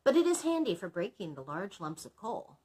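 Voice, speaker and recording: A woman's voice: mid-range voice, very animated, brisk, mellow, breathy edge, voice pushed up. A woman voicing a timid, respectable male blacksmith in a storybook, speaking politely and carefully. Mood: defensive.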